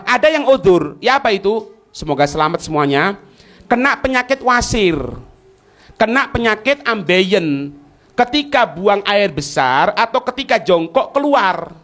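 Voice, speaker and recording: A man's voice: extremely high pitch, fairly bright tone. A man with a Javanese accent teaching religion in a colloquial, didactic way, posing questions and checking that listeners follow. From East Java, Indonesia. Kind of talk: religious lecture with question and answer.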